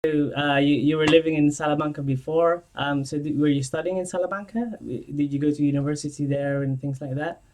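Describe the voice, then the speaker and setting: A man hosting an interview in a conversational style, asking questions in a halting way. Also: on the high side, little pitch movement, a little mellow. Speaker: interview host.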